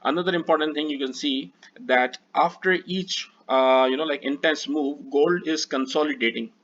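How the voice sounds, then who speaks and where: A man's voice: fairly high pitch, normal speed, fairly bright tone. A man whose first language is Urdu, walking through trading charts in a hesitant manner with frequent fillers. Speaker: trading analyst. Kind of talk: screen-share chart walkthrough. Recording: dull lo-fi voice recording.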